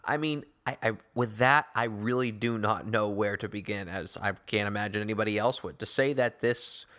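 Bright, forceful male sports host. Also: lowish voice, moderate pace. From Newton, Massachusetts, United States. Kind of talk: opinion monologue on sports talk show.

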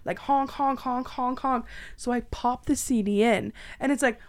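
A woman's voice: on the high side, fairly even intonation, measured pace. Chatty young Canadian woman telling stories. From southwestern Ontario, Canada. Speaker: podcast host. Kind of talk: casual chat, telling family anecdotes.

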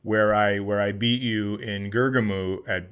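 A man's voice: lowish voice, steady tone, unhurried, a bit bright, clean tone. A man who is a comedian and podcast co-host, chatting casually and telling stories in a profane, mock-indignant rant. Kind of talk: casual podcast chat and storytelling.

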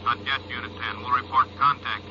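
A man's voice: mid-range voice, fairly quick, close to an everyday voice. A man playing a terse, official police radio officer in a 1950s radio drama, speaking formally in call signs. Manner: terse, formal, flat wording. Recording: very noisy, muffled police radio sound.

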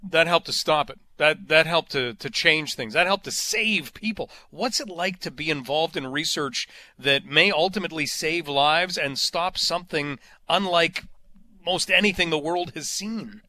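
Bright-voiced man hosting a radio interview. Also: on the high side, moderate pace, no room sound. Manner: earnest, leading questions. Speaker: radio host.

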